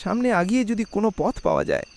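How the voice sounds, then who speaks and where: A man's voice: sits high, huge pitch swings, very fast, darkish tone, heavily acted. A man playing a devoted, weary middle-aged woodcutter father in a cartoon, fussing and muttering to himself expressively. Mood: anxious. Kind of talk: cartoon voice acting.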